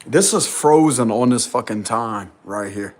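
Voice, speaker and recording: A man with a deep, loud voice vlogs casually from a small rented room. He talks in an excited, insistent way, full of slang. His pitch sits in the middle range for a man. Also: a little slow. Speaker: spiritual vlogger. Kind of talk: casual vlog to camera.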